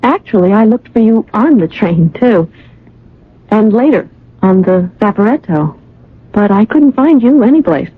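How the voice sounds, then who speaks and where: An actress playing an earnest, wistful young woman traveler in a radio drama, speaking in a measured, reflective way. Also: medium pitch, animated, slightly rough, dry recording. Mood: curious, earnest. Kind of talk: 1980 radio drama acting and first-person narration. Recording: muffled vintage radio with music bed.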